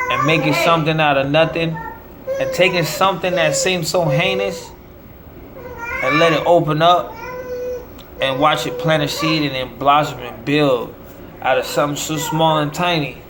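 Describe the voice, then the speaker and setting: A somewhat high-voiced American man talks in a rambling monologue. He breaks his thoughts into short, declamatory phrases like a street preacher, over a clear, steady hum of room noise. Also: normal speed. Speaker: self-promoting musician.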